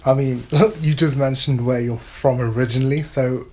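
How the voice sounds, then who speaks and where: A man's voice: mid-range voice, steady tone, moderate pace, darkish tone. A man interviewing as a student, asking questions and offering short acknowledgements. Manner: brief, encouraging acknowledgements.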